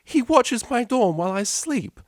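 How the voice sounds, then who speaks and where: A man's voice: extremely high pitch, very animated, a little slow, no room sound, studio quality, pitched above the performer's own voice, heavily acted. A man voicing a proper young female pony cellist, flustered and easily exasperated, in a story reading. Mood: indignant. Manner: proper, stammering when flustered, indignant.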